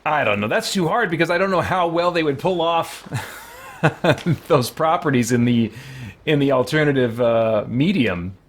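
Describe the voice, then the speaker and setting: A man giving casual, halting live-stream commentary on a game, thinking aloud as he goes. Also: a little high, animated, slight background noise.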